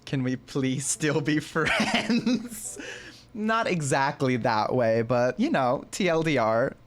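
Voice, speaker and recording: A woman giving casual gameplay commentary as a streamer, reacting in an expressive, sympathetic and jokey way. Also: rumbling low, slow, faint music behind.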